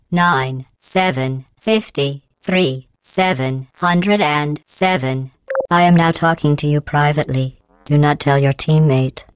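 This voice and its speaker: An actress voicing a cold, sarcastic female AI who runs a test facility, speaking in a deadpan, mock-polite, passive-aggressive tone as video game dialogue. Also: low voice, smooth, dry recording.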